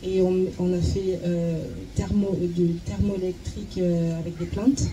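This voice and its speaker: A woman working as a consecutive interpreter at a talk, halting and searching for words as she translates. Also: lowish voice, little pitch movement, background noise.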